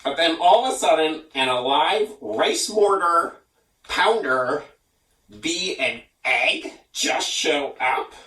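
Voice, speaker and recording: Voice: mid-range voice, lively intonation, slow, bright, forceful tone, recorded from a distance. A person casually commenting on a story in a slangy, laid-back way. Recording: echoey room, away from the mic.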